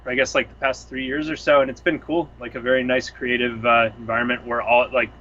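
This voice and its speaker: A man's voice: medium pitch, flat delivery, fast, bright, forceful tone, muffled recording. A young man from the Seattle area, a music producer guest chatting casually on a couch at home, rambling thoughtfully with lots of fillers. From Seattle area, US Pacific Northwest. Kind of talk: casual chat.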